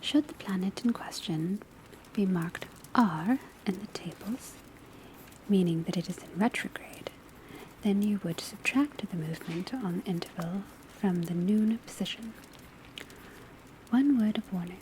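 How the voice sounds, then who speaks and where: A woman playing a patient, studious witch school teacher in an ASMR roleplay, whispering softly and deliberately as she lectures. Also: medium pitch, lightly acted. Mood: calm, methodical. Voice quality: soft, breathy whisper. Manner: measured, deliberate, instructional. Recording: crackling fire, rain, pencil noise behind. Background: crackling fireplace, rain on roof, pencil writing.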